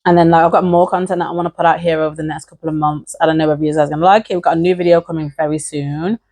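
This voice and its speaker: A woman's voice: low voice, steady tone, racing, darkish tone. A woman vlogger talking casually and informally to her viewers, hesitant and peppering her sentences with filler words. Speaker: YouTube creator and host. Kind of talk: casual chatty vlog to camera.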